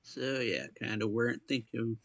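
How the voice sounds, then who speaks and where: A man giving casual, low-key game commentary in a gravelly, husky voice, speaking in halting bits. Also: fairly bright tone. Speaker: reaction video host.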